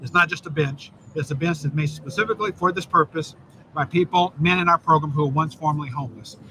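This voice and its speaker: A slightly hoarse man hosting an interview outdoors, friendly and rambling, often repeating words. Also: on the high side, quick pace, bright, forceful tone, poor audio. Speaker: host. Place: outdoors on a bench by a street. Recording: street noise behind.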